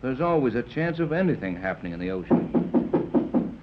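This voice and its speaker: An actor playing a steady, easygoing army captain in a 1930s radio serial, matter-of-fact and reassuring. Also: male voice, mid-range voice, moderate pace, lightly acted. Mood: calm, cautious. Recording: dull, noisy 1930s radio recording.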